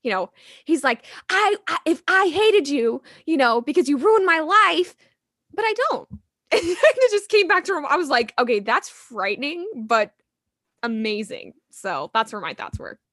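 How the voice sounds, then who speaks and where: A playful young woman on a podcast, full of laughs and theatrical flair. Her voice is bright and a bit high. The sound is dry and close. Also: expressive, moderate pace, no echo. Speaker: podcast co-host. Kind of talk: casual chat recapping a TV episode.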